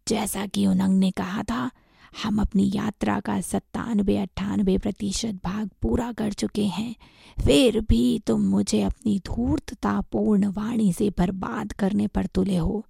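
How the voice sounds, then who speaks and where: A woman voicing an angry, reproachful male monk master in a storybook tale, with a husky, breathy voice. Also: lowish voice, a little mellow, no echo, clean studio sound. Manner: indignant, reproachful. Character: angry, scolding revered monk master, adult man.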